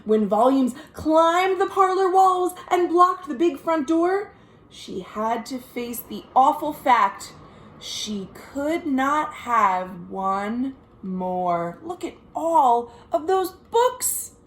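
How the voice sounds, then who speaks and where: An American woman, a children's librarian, reading a picture book aloud in an expressive, sing-song way with chatty asides to young listeners. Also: high voice, takes it slowly, echoey room, low-quality recording. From United States. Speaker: children's librarian hosting a virtual storytime. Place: at home.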